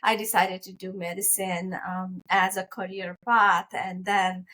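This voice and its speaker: This is a middle-aged woman with a Nepali accent. Her voice sits at an average pitch for a woman. She talks in a casual, conversational way, as a physician answering an interviewer's questions. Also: flat delivery, unhurried, sharp tone. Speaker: guest, physician.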